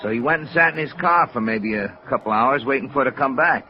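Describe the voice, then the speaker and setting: A man's voice: medium pitch, fast, natural voice. A man acting a talkative, sympathetic filling station attendant in a 1950s radio drama, with casual, slangy talk. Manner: casual, slangy, plain-spoken. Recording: dull old radio recording with music.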